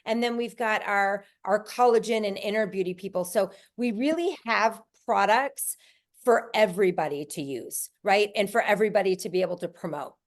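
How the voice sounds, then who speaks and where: A woman's voice: medium pitch, normal speed. A woman leading a team, giving an upbeat, enthusiastic, chatty presentation on a video call.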